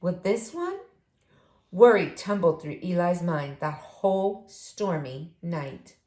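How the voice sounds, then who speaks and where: A woman reading a children's story aloud in an expressive, deliberate, dramatic way. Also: on the low side. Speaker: storybook reader. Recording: roomy, echoing room sound.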